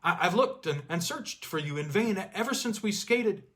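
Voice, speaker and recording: A man's voice: high-pitched, expressive, normal speed, a bit bright, voice pushed up. Man acting a stammering, enraptured, pleading mountain climber.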